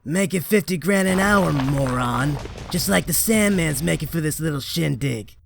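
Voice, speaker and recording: Male actor as taunting hired-gun villain: highish, crackly voice, cocky, comic-book drama, faint noise behind. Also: lively intonation, normal speed, vocal fry. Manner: mocking, cocky.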